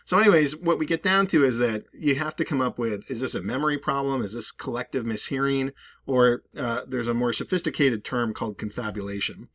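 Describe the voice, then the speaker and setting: A man's voice: medium pitch, fairly quick, a bit bright, dry recording, clean studio sound. A man hosting a video with casual, rambling and emphatic conversational commentary. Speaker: video host.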